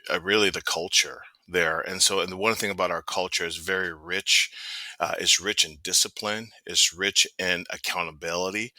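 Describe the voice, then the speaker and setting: A man's voice: low voice, sharp tone, no room sound. A middle-aged man from American Samoa who grew up later in Seattle, talking earnestly and casually as an interview guest, repeating phrases for emphasis. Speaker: podcast guest, youth sports coach and father. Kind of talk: casual personal storytelling in an interview.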